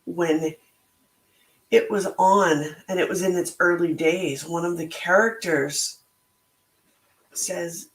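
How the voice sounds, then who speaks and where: Slow, slightly hoarse woman in an echoey room. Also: lowish voice, steady tone. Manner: slow, thoughtful, motivational delivery. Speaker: podcast host and book club organizer. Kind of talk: reflective solo talk.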